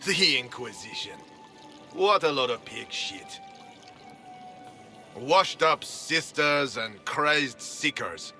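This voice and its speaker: A man's voice: mid-range voice, sharp tone. A man playing a pompous, contemptuous aristocratic marquis in a fantasy game, sneering as he talks.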